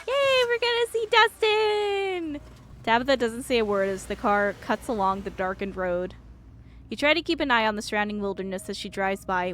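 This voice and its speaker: A woman streamer reading a game aloud and reacting to it in an expressive, emotional way. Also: mid-range voice, a little hiss or hum.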